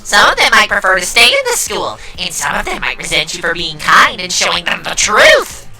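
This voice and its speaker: Manic, sharp-voiced teenage villainess. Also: female voice, fairly low pitch, wildly swooping pitch, moderate pace, faint music behind, heavily acted. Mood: gloating, manic. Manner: taunting, melodramatic, mock-sweet. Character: gleefully sadistic, theatrical teenage girl mastermind villain. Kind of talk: anime-style fan dub acting.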